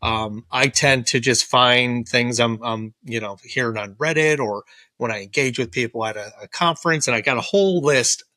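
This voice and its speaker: A man's voice: normal speed, pushed and bright, no room sound. A man co-hosting a podcast with a radio-style voice, explaining casually as an interview guest. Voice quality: low-timbred, radio-style voice. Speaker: podcast co-host being interviewed.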